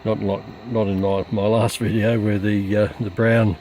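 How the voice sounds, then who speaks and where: A man giving a halting, matter-of-fact, unscripted demonstration of gear as a hobbyist. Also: fairly low pitch, level tone, warm tone, slight background noise. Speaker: radio hobbyist presenter.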